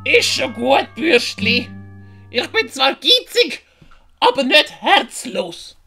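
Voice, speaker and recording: A woman plays a miserly old man with a tender heart and a Swiss German accent. The voice is high and creaky and swoops through indignant exclamations at a slow, drawn-out pace, with music underneath. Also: sing-song, bright, forceful tone, heavily acted. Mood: soothing. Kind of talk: audiobook character acting.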